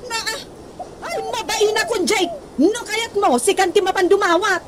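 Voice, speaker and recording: A nagging wife played by a woman with an extremely high voice. She scolds insistently and is plainly fed up, in radio comedy style, over clear background noise. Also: moderate pace. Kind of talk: comedy radio drama acting in Ilocano.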